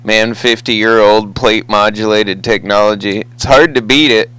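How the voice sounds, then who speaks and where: A man's voice: on the low side, fairly even intonation, unhurried, fairly bright tone, clear, resonant tone, no room sound, poor audio. An American man chatting casually over the radio as a hobbyist, with an easygoing, folksy manner. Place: two-way CB radio conversation.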